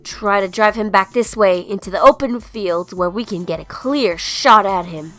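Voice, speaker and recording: A woman's voice: mid-range voice, moderate pace, a bit bright, no room sound, soft background music, lightly acted. Woman as a ruthless, commanding rebel leader. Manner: commanding, cynical. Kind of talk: comic-book dub acting.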